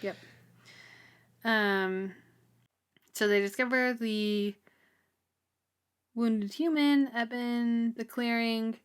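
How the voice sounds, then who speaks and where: A woman's voice: on the high side, fairly even intonation, drawn-out words, pauses often, clean tone. Woman chatting casually, wryly recounting. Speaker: co-host of a book read-along show. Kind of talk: casual chat, recapping a fantasy novel.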